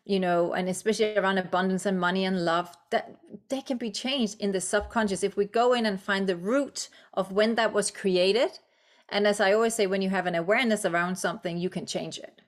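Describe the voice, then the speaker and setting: A woman's voice: mid-range voice, brisk. A woman from Denmark whose first language is Danish, a guest author and coach speaking English in a podcast interview, encouraging and reflective with frequent fillers.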